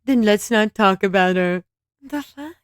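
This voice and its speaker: A woman's voice: mid-range voice, measured pace, smooth, no room sound, studio quality. A woman reacting to clips in a sarcastic, laughing commentary, swearing now and then. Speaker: commentary host.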